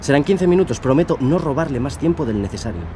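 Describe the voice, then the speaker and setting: An actor playing a charming predatory killer who poses as a polite policeman in an audio drama, with a smooth, controlling manner. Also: on the high side, expressive, fast, natural voice. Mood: polite, reassuring. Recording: noisy outdoor street and car ambience.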